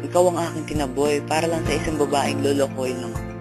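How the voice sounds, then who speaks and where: Woman acting a low-voiced earnest lovestruck boy. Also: steady tone, quick pace, natural voice. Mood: remorseful, tender. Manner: earnest, melodramatic. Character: remorseful, lovestruck teenage boy. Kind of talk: amateur radio drama acting. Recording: loud music under the voice.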